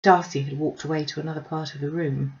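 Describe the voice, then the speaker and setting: A woman reading a classic novel aloud as an audiobook narrator, in an expressive storytelling style. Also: low voice, quick pace, highs cut off. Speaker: audiobook reader.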